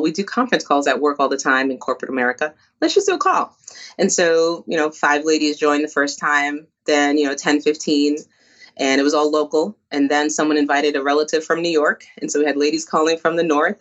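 An upbeat woman tells her story as an interview guest. She talks with quick asides, and her voice comes through slightly muffled. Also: sits low. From born in Colombia, raised in New Jersey. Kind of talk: casual interview conversation.

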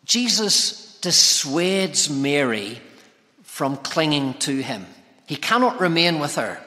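A man preaching a sermon in deliberate, measured phrases broken by pauses. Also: a little high, very animated, sharp tone. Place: large church interior, preaching to congregation. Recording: long reverberant church hall echo.

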